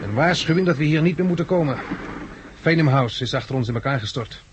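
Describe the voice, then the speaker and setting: An actor playing a weary, practical farmer and survivor in a 1960s Dutch radio drama, with a husky, rough-edged voice. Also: male voice, on the high side, animated, fast, highs cut off, noisy background, natural voice. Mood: grave, resigned.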